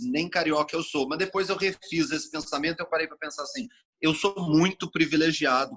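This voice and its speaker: A middle-aged man from Brasília, a TV journalist telling stories in a casual interview, expansive and animated, acting out quoted speech. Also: fairly high pitch, slightly rough. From Brasília, Brazil.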